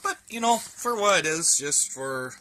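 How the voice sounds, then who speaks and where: A man's voice: a little high, big pitch swings, measured pace, sharp tone, rough recording. A man giving a casual, rambling show-and-tell indoors, thinking aloud in a folksy, colloquial way. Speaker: hobbyist presenter. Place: indoors, in a room at home.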